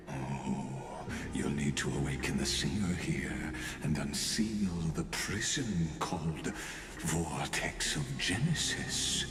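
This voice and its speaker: A man's voice: very deep voice, heavily acted. A man playing a coldly patient imprisoned genius villain in a video game, speaking in theatrical, pompous monologues. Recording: echoing voice with game music behind.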